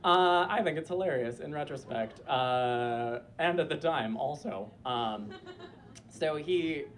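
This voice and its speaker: A man's voice: on the high side, expressive, slow. A young man telling a comic personal story on a club stage, chatty and full of filler words, with a voice he says sounds like a disappointed mom. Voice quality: voice like a disappointed mom, per a friend. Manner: chatty, lots of filler likes, frequent laughter. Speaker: storyteller performer. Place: small club stage before a live audience.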